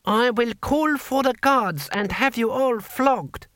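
A high, bright-voiced imperial tax official, played by a male narrator. He speaks slowly and stiffly, pompous and flustered, with a croak, as in a comic fantasy audiobook. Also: animated, no room sound, studio quality, full character voice. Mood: indignant threat.

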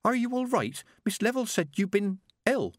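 A man's voice: sits high, very animated, moderate pace, no echo, clean studio sound, voice pushed up. A man voicing a nervous, stammering young witch girl, full of little ums and flustered breaths.

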